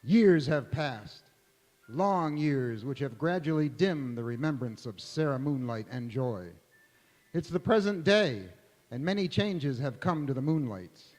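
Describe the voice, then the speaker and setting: A man's voice: medium pitch, animated, measured pace, a little mellow, lightly acted. A man playing a theatrical old-time Hollywood radio host narrating a drama. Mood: solemn, dramatic. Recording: echoey hall, long reverb.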